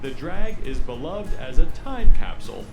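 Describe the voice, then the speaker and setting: A man's voice: on the high side, very animated, moderate pace. Guy reading a book excerpt, lots of up and down, music behind him. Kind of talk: scripted narration, book reading.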